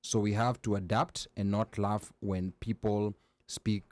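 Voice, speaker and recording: A man's voice: fairly low pitch, flat delivery, unhurried, darkish tone. A man co-hosting and producing a live talk show, chatty and full of fillers as he reads out viewer comments. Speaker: co-host and producer.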